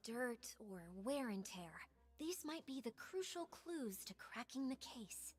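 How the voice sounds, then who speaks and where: A woman's voice: fairly high pitch, very animated, takes it slowly, breathy edge, exaggerated voice. A woman voicing a polite, composed young female investigator with an analytical manner in a video game.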